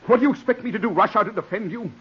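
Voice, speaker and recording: A man's voice: high voice, rapid-fire, husky. An actor in a 1940s radio drama playing a stern, ambitious barrister, a cold, self-serving man with a clipped, commanding delivery. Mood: angry, scornful. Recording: muffled vintage 1940s radio recording.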